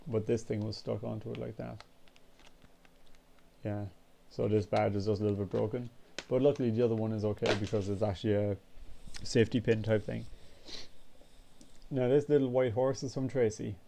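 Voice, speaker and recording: A man's voice: on the low side, moderate pace, creaky, long pauses, a little hiss or hum. A man, congested and sniffly, rambling casually in a vlog. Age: 20s. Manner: rambling, relaxed, casual swearing. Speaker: vlogger. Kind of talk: casual chat.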